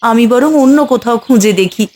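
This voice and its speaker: A woman's voice: a little high, brisk, a little mellow, natural voice. A woman voicing a distraught village mother in a cartoon, wailing and pleading over her stolen poultry.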